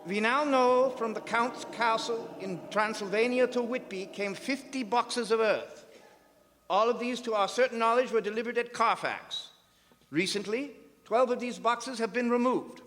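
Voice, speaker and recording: Man as very high-voiced, grave vampire-hunting professor. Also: fairly bright tone. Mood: grave, resolute. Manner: solemn, deliberate, oratorical. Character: grave, authoritative male professor leading vampire hunters. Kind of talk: old-time radio drama acting. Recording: live theater stage, long hall reverb.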